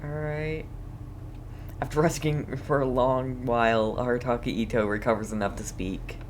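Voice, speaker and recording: A woman's voice: a bass voice, fairly even intonation, moderate pace, slight background noise. A woman streaming a game casually, reading dialogue aloud and reacting in a playful, wry way. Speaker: gaming streamer.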